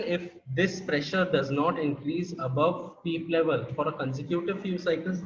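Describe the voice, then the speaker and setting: A man's voice: on the high side, reverberant, muffled recording. Indian man explaining technical details. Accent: Indian English. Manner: explanatory, with frequent fillers and restarts. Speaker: workshop presenter. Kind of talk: technical presentation.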